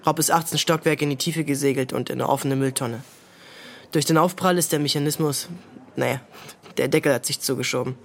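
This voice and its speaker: A man's voice: medium pitch, fairly even intonation, brisk, vocal fry, lightly acted. Sullen, curt teenage boy played by an actor. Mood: detached recounting. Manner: curt, dismissive, flippant. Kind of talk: naturalistic German radio drama acting.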